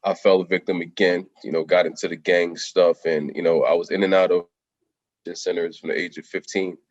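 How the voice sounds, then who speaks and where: A middle-aged man from Jamaica speaks in a very low voice, candid and unhurried, clearing his throat now and then. The recording is dry and slightly dull. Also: flat delivery, no echo. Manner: soft-spoken, unhurried, frequent ums and throat clearing. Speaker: guest, contractor. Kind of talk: candid life-story interview.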